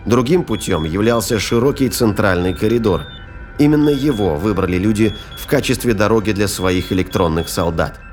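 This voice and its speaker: Very deep male audio drama narrator. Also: moderate pace, darkish tone, faint music behind.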